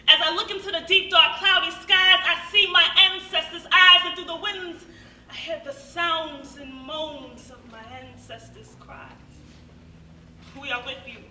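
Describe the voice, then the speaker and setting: An echoey recording of a young American woman on stage, heard from far off. Her voice is high and very bright. She recites spoken-word verse earnestly, in dramatic rhythm with deliberate pauses. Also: steady tone. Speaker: monologue performer. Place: stage at a live show. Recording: echoey hall from far off, faint noise.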